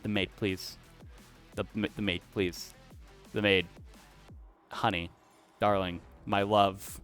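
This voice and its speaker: A man's voice: on the low side, little pitch movement, slow pace, a bit bright, soft background music. A young man streaming, chatting casually and rambling, often cutting himself off to answer chat. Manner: rambling and self-interrupting, talks back to chat.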